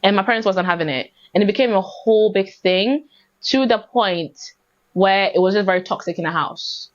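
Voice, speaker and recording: A woman's voice: mid-range voice, moderate pace. British woman podcasting, dull lo-fi sound. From born in Ghana, raised in the UK from age six. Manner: conversational, repeats and emphasises phrases, frequent "like" and "you know". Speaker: podcast host. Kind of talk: casual personal storytelling podcast.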